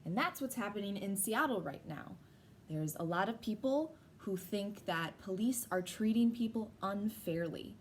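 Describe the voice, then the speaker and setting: A woman's voice: mid-range voice, lively intonation, moderate pace. A woman teacher reading aloud to young children and explaining things simply as she goes. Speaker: teacher reading a picture book to a class. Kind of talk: read-aloud with explanatory asides. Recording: noisy background.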